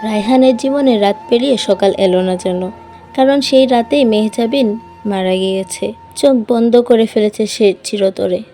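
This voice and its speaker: A woman narrating a sad love story as a scripted voice-over, reading softly and with feeling. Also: medium pitch, normal speed, faint music behind.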